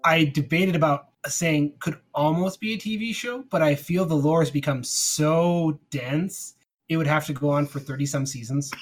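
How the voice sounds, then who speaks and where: A man's voice: on the high side, moderate pace. A man co-hosting a podcast in a casual, conversational style. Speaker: podcast co-host. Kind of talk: casual chat.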